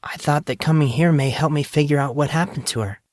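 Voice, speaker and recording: A soft, earnest young woman tells a sad story in a raised, gentle voice, as a male narrator puts her on. The sound is dry and close, with no room around it. She speaks in a heartfelt, confiding way. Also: a little high, fairly quick, no echo, pitched above the performer's own voice, natural voice. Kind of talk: audiobook character reading.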